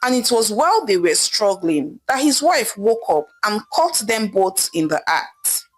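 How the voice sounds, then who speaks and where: A woman narrating a scripted folktale in a dramatic, expressive storytelling manner. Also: mid-range voice, unhurried, rough recording. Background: background music.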